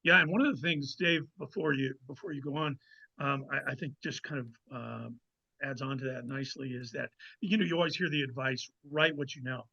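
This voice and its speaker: A man's voice: mid-range voice, brisk, creaky, bright, forceful tone. A man, an author guesting on a podcast, chatting casually and reflectively in a hesitant way, on muffled audio.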